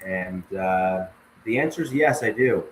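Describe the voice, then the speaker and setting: Deep-voiced Canadian man in an echoey room. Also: lively intonation, a little hiss or hum. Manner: many uh fillers, measured and polite. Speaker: podcast co-host. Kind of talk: casual live-stream chat.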